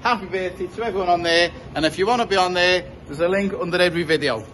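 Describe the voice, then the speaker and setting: A man with an English accent briskly reading out a list of birthday shout-outs for a vlog. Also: high voice, a little hiss or hum.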